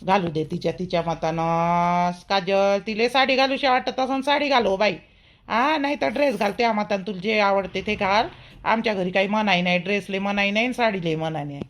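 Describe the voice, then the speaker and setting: A woman's voice: medium pitch, steady tone, moderate pace, close to an everyday voice. A woman voicing a bossy but easygoing middle-aged mother-in-law in a village cartoon, with a Vidarbha Marathi accent. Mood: easygoing, permissive. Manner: matter-of-fact, directing, permissive.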